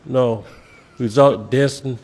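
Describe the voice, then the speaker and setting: A man, talking casually in a courtroom, halting and repeating his phrases. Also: medium pitch, a little mellow. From South Carolina, United States. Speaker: husband appearing in court. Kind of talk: casual storytelling and short replies. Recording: echoey courtroom with long reverb.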